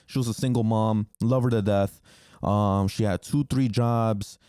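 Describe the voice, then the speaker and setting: A podcast host in his twenties, a native Spanish speaker, talking alone in a relaxed, candid way, dropping ums and the odd swear word. His voice sits low and sounds smooth and resonant. The recording is dry and close, with no room sound. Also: unhurried, no echo. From New Jersey, United States. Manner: loose and candid, frequent ums, casual swearing. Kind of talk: casual solo storytelling.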